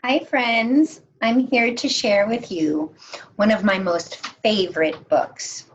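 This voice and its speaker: A woman reading a children's picture book aloud in a measured, expressive storytime manner, as if to young listeners. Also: mid-range voice, dull audio, a little hiss or hum. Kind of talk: read-aloud storytime narration.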